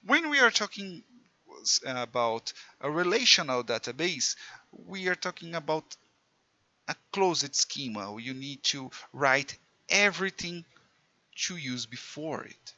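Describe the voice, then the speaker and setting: A man's voice: fairly high pitch, pushed and bright, dry recording. Portuguese-accented male tutor, self-mocking, clumsy English; slightly muffled, dry close-mic sound. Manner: chatty, self-mocking, non-native English with slips. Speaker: programming teacher. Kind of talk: casual screen-recorded coding lesson.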